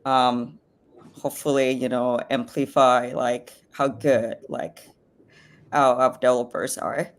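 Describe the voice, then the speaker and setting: A woman's voice: rumbling low, little pitch movement, slow pace. A woman from Vietnam, a native Vietnamese speaker, introducing her company in a casual, informal manner. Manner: casual, frequent "like". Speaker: company representative.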